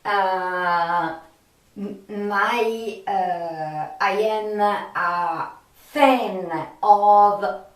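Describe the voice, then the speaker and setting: A woman with a non-native English accent talking casually to viewers as a film fan, in a halting way with drawn-out pauses. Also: mid-range voice. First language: not English. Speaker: video host, film fan. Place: home studio. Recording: echoey room, distant microphone.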